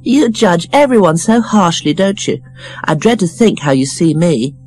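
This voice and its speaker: A man's voice: sits high, expressive, normal speed, a little mellow, soft background music, voice pushed up, poor audio. A man voicing a meek, fretful young woman with a plaintive manner, in an audiobook. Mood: hurt. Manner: plaintive, fussy. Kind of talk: audiobook character voice.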